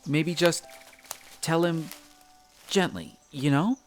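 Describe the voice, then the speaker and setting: A man's voice: a little high, slow, soft background music. A man playing an earnest, bookish young elf apprentice in a roleplay audio drama, chatty and a little hesitant, easily flustered. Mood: thoughtful, nervous. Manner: hesitant, chatty, explains eagerly. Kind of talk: scripted roleplay audio drama addressed to the listener.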